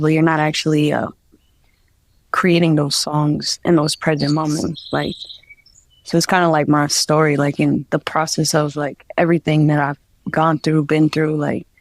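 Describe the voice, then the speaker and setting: A woman's voice: deep voice, flat delivery, normal speed, no echo. Rambling young woman from New Jersey. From New Jersey, USA. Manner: rambling, lots of "like" fillers. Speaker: guest artist. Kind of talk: casual interview talk.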